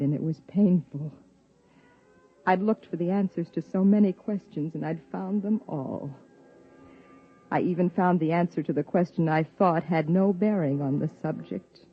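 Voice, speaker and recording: An actress playing a young wife and biologist who uncovers a dark secret, acting in a 1950s radio drama, urgent in argument and numb when narrating. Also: female voice, on the low side, husky. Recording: dull lo-fi 1950s radio recording.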